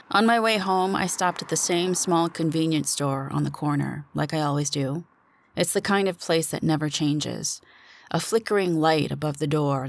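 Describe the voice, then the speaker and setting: A woman's voice: sits low, slight background noise. A woman narrating a suspense story in the first person, as a dramatic reading.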